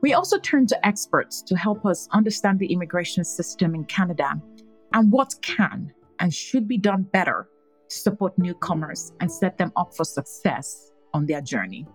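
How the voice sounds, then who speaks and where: A woman hosting a podcast, reading a measured scripted introduction and then interviewing a guest. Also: on the low side, animated, husky, soft background music. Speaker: podcast host.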